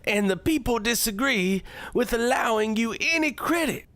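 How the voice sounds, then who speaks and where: A man playing a smug shock-jock radio host who interviews in a dramatic, drawn-out delivery, baiting his guest. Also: very high voice, expressive, a bit bright, dry recording. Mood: needling.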